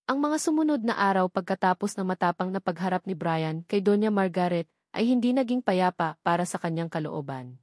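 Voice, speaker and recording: A woman's voice: mid-range voice, darkish tone, dry recording, clean studio sound. A woman with a Filipino accent giving a dramatic scripted narration of a romance story.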